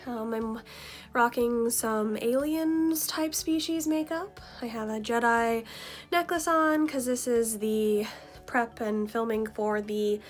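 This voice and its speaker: A woman talking informally to her viewers, explaining things in a casual, conversational behind-the-scenes vlog style. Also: fairly high pitch. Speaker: ASMR video creator and vlogger.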